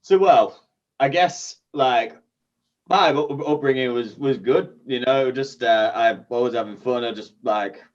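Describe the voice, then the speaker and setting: A man's voice: medium pitch, a little slow. Laid-back Sheffield man, dull lo-fi sound. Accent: Sheffield English. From Sheffield, England. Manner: laid-back, chatty, laughs often, heavy swearing. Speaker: guest musician. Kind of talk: casual chat.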